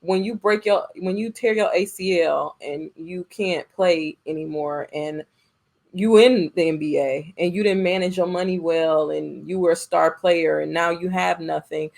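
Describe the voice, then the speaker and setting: A woman's voice: lowish voice, measured pace, gravelly, darkish tone. An American woman co-hosting a podcast in casual, opinionated talk, repeating phrases to drive her points home. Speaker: podcast co-host. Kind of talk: casual movie discussion.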